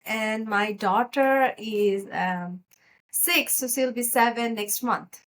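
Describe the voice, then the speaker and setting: A woman's voice: a little high, slow pace, a bit bright. A middle-aged woman from Nepal with a Nepali accent, a physician talking casually as an interview guest.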